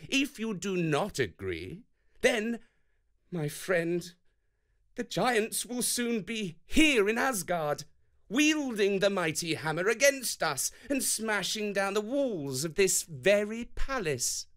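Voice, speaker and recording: A man's voice: sits high, sing-song, slow pace, fairly bright tone, pitched above the performer's own voice, heavily acted. A man voicing a sly trickster god in a children's storybook, coaxing and drawing out his words. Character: sly male trickster god, smooth persuader.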